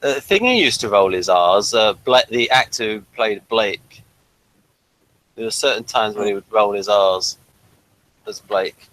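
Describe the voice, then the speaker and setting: A man's voice: lowish voice, expressive, a little slow, a bit bright, rough recording. A man hosting a review podcast in casual conversation, with a questioning, tentative way of talking.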